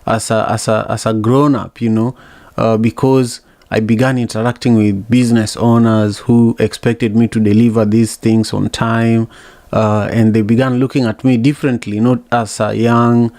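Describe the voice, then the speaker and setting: A man from Kenya hosting a podcast interview, asking questions in a measured, steady way. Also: medium pitch, dry recording. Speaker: podcast host.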